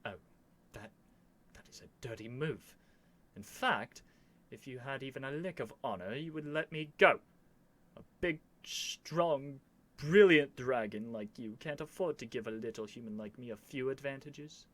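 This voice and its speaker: A man's voice: mid-range voice, unhurried, a bit bright, no room sound, natural voice. A man playing a stubborn, flustered young prince with haughty, theatrical phrasing in an ASMR roleplay. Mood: exasperated, scheming.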